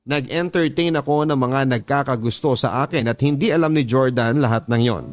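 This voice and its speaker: A man's voice: mid-range voice, brisk. A man with a Filipino accent reads a scripted love confession in the first person as a radio drama narrator. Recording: music bed under the voice. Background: background music.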